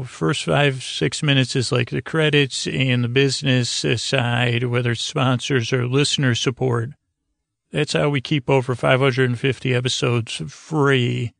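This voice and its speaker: A man's voice with plenty of vocal fry, recorded very dry. He hosts a bedtime podcast, rambling along in a soothing monologue. He is whimsical and self-deprecating, often losing his thread and circling back to it. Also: mid-range voice, a bit bright, no echo. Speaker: host of a sleep podcast.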